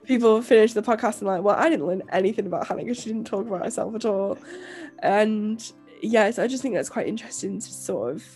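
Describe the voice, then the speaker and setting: A young woman co-hosting a podcast, croaky and nasal from illness, rambling casually with lots of fillers and restarts. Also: medium pitch, brisk, a little mellow, faint music behind. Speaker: podcast co-host and author. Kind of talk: casual chat.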